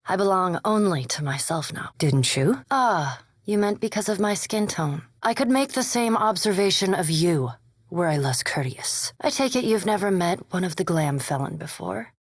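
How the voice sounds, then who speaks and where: A woman's voice: fairly low pitch, animated, normal speed, husky, no room sound. A woman voicing a courteous, scholarly undead elf adventurer in a video game, with formal, precise speech and dry wit. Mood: measured, scholarly. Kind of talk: video game character dialogue and barks.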